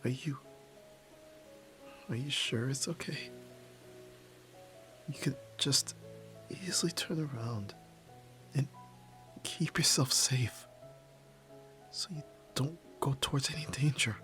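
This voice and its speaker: A guarded young nerd turned yakuza, acted by a man, in an intimate ASMR roleplay over music. He speaks very slowly and haltingly, stammering and sighing, with a tender core under the tsundere front. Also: medium pitch, lightly acted. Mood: pleading, tearful. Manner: halting, stammering, breathy sighs. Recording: music behind. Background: background music.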